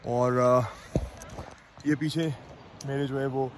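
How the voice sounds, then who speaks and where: A man's voice: mid-range voice, fairly even intonation, takes it slowly. A man presenting a casual travel vlog on location, chatting to viewers and mixing Urdu with English. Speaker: travel vlogger and host. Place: outdoors on location and in a vehicle. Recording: loud outdoor and vehicle noise.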